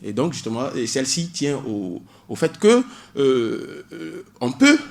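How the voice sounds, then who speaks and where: A man's voice: medium pitch, sing-song, a little slow, creaky. A man, a doctoral student in public law, explaining asylum law on a radio show with many hesitations and cited articles. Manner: hesitant, many fillers, cites legal articles.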